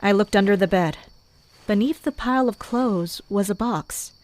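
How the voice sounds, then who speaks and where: A woman playing a composed, wry captain of mercenaries, narrating and talking in a measured, matter-of-fact way in an audio drama. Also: mid-range voice, darkish tone, close to an everyday voice. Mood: grim, focused. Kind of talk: first-person narration and dialogue in an audio drama.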